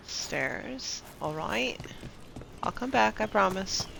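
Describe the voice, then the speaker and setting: Female streamer, casual play-along chatter, murmured, slow delivery with vocal fry, noisy background. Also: medium pitch, lively intonation. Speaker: game streamer. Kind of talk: casual live gameplay commentary. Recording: clear background noise.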